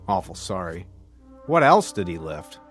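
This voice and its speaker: A man's voice: sits low, very animated, measured pace. An actor playing a blunt, protective working-class father who threatens with slang, in a radio drama. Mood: angry. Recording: radio drama with music beneath.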